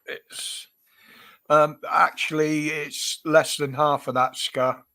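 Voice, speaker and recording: British male streamer, congested nose, unhurried chatty delivery. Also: fairly high pitch, flat delivery, sharp tone. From United Kingdom. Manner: chatty, greets viewers by name, chuckles often. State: stuffy, blocked nose. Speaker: livestream host. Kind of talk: casual livestream chat.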